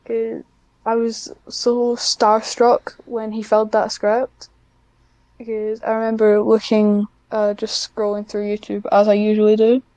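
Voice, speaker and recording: A woman's voice: medium pitch, mellow, clear, resonant tone, no room sound, low-quality recording. A woman chatting casually as an interview guest, in a hesitant, self-deprecating way. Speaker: guest, hobbyist audio script writer.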